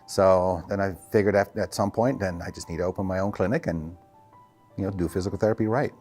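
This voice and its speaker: A man's voice: low-pitched, brisk, darkish tone, soft background music. A middle-aged man from the Netherlands with a Dutch accent, a native Dutch speaker, casually answering interview questions as a physical therapist and clinic owner.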